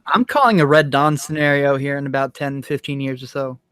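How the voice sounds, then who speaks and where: An American man with a high voice, chatting as a podcast guest and stumbling over repeated starts. He laughs often, and the mic is dry and close. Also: a little fast, a bit bright, clean tone, dry recording. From United States. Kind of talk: casual podcast chat.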